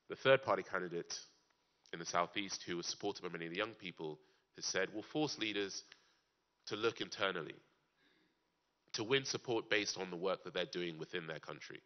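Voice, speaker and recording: Man with a really deep voice giving a conference talk, slow deliberate rhetorical questions, kinda dull muffled recording. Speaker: conference panel speaker. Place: conference in Germany. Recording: dull lo-fi sound.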